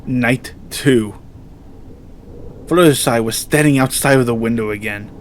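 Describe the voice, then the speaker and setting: A man reading scripted horror fiction as narrator in a measured, solemn storytelling manner. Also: medium pitch, a little hiss or hum.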